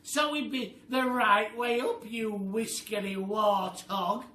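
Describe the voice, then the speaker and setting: Man putting on a shrill, screaming horrid wife. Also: steady tone, sharp tone, heavily acted. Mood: furious, despairing. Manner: screaming, drawn-out words. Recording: roomy, noisy, distant microphone.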